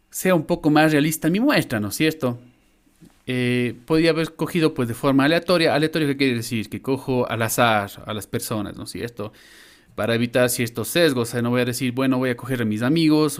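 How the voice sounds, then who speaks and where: A middle-aged man with a Latin American Spanish accent, lecturing informally in an online class and often checking in with short question tags. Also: mid-range voice. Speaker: university lecturer. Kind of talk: explanatory online lesson. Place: indoor room, online class.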